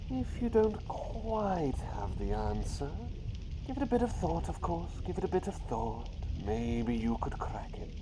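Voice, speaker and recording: A man voicing a playful talking bird doctor in an ASMR roleplay, posing riddles in a teasing, suspenseful way and repeating his phrases. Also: medium pitch. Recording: clear steady background noise.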